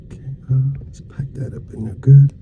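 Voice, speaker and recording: A soft-spoken man playing a dentist in an ASMR roleplay, murmuring casual, reassuring and unhurried patter to a patient. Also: medium pitch, steady tone. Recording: dull muffled lo-fi sound with faint noise.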